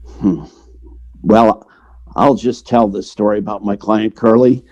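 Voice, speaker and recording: A man's voice: medium pitch, darkish tone, lo-fi sound, natural voice. A man playing a sentimental, wheedling theatrical agent who tells his story in an old-time radio drama. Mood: wistful, upset. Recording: noticeable background noise.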